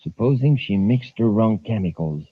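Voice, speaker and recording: A man playing a menacing, impatient racketeer, husky and insinuating, in 1950s radio acting. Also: fairly low pitch, expressive, moderate pace, dry recording. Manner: insinuating, accusing. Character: menacing, impatient male racketeer plotting murder. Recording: dry, tinny vintage radio recording.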